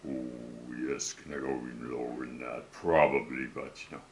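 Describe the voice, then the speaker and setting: An older man talking slowly and self-assuredly to camera about recording cover songs, rambling and musing as he goes. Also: rumbling low, steady tone, slight background noise. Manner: slow, musing, self-assured, breaks into song. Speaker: home singer recording covers. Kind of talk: rambling solo monologue to camera.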